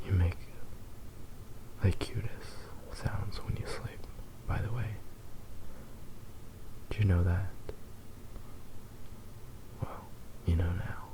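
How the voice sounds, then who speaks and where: A man voicing a devoted, possessive fox spirit husband in an ASMR roleplay, speaking in a soft, breathy half-whisper with intimate, lingering pauses. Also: sits low, fairly even intonation, low-quality recording. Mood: soothing, affectionate.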